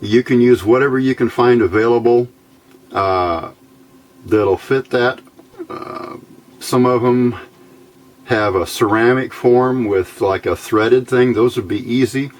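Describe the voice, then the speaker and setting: A man presenting an informal technical tutorial in a halting, easygoing, folksy way. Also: mid-range voice. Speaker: hobbyist presenter of electronics build videos.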